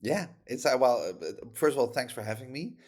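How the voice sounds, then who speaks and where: A man's voice: medium pitch, sing-song, brisk, a little mellow. A Dutch-accented man from the Netherlands, a startup founder talking casually on a podcast, rambling with lots of fillers and restarts.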